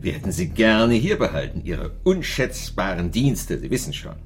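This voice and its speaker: An actor playing a smug, insinuating small-town mayor in a radio crime drama, speaking in clipped, pointed phrases with weighty pauses. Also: male voice, on the low side, big pitch swings, a little hiss or hum. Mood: jovial, insinuating.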